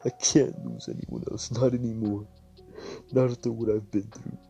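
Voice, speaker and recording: A man's voice: lowish voice, normal speed, a little mellow, faint music behind, lightly acted. A man voicing a desperate, grieving teenage boy who pleads and repeats himself, close to tears. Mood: sobbing, begging.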